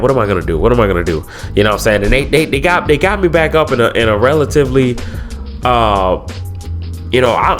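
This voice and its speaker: A man's voice: on the low side, a bit bright. A young man with a congested head cold, talking casually to his viewers with lots of slang, fillers and repeated words. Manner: loose slangy talk, many fillers and repeats. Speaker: YouTuber. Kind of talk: casual vlog talk to camera.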